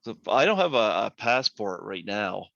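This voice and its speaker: A man's voice: on the low side, big pitch swings, normal speed, creaky, a bit bright, dry recording. A man from Canada hosting a podcast, chatting casually with a guest and hesitating with many fillers. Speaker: podcast host. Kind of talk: casual interview chat. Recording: dry, dull lo-fi sound.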